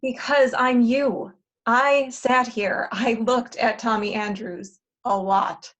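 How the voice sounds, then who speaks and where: A woman's voice: fairly high pitch, fairly even intonation, takes it slowly, a bit bright. A woman playing a jaded, insistent time traveler in a stage comedy, urgent and emphatic with a wry edge. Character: insistent, jaded older self from the future. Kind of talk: stage comedy acting in a table read.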